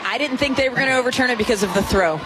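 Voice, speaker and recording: A woman giving live color commentary as the analyst on a radio softball broadcast. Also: fairly high pitch, rapid-fire. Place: outdoor softball stadium. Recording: crowd roar and loud music behind. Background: loud crowd and music.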